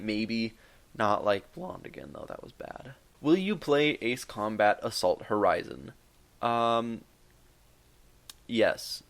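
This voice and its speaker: A man in his twenties answering viewer questions in a casual, rambling, joking vlog. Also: lowish voice. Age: 20s. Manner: rambling, joking, many fillers. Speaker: YouTube gaming creator.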